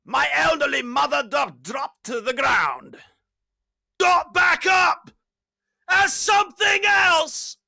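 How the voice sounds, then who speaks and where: A woman's voice: high voice, expressive, slow, creaky, dry recording, clean studio sound, voice pushed up, exaggerated voice. A woman voicing an angry, barking British man, a guard or mob citizen, in an audiobook drama. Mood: angry panic. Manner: forceful, barking.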